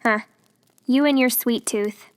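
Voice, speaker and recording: A woman's voice: fairly high pitch, unhurried, clean tone, pitched above the performer's own voice, natural voice. A woman voicing a kind young potion-making witch in a storybook reading, friendly and lightly teasing.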